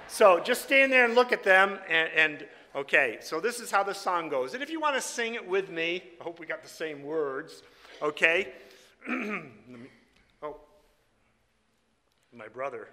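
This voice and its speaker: A man delivers an informal sermon in a high, very bright and forceful voice with a slight rasp. His manner is folksy and teasing as he addresses his congregation. The sound carries long hall reverb. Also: sing-song, measured pace. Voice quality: slightly raspy. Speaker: preacher. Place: large reverberant room before a congregation. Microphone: microphone on a stand or PA.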